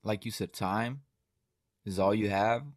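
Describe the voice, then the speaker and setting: A man's voice: on the low side, measured pace, dry recording. A young adult man hosting a podcast, asking questions casually into a studio mic in a small room at home. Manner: relaxed, quiet affirmations. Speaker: podcast host. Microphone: studio mic on boom with headphones.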